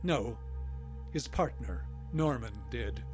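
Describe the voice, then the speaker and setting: A man's voice: medium pitch, expressive, slow pace, darkish tone, soft background music. A man acting in a radio drama as a defiant, wary older scientist held captive, guarded and resolute. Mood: defiant, explaining.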